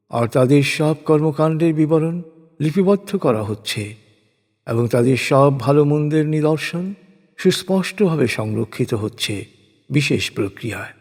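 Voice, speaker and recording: A man gives a solemn, scripted recitation of translated scripture in a measured, declamatory way. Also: fairly high pitch, a little mellow. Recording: long hall-like reverb.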